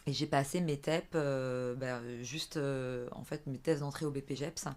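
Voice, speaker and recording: A woman in her thirties from the Vendée in France, a fitness coach chatting as a podcast guest, often pausing with filler sounds. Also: very deep voice, steady tone, a little mellow. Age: 30s. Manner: hesitant, many filler sounds and restarts. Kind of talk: casual interview chat.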